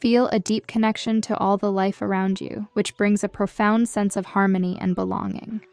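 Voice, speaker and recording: Clear, soothing woman guiding meditation. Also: mid-range voice, little pitch movement, no room sound. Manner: soothing, measured, gentle instruction. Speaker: meditation guide. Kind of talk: scripted guided meditation narration. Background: background music.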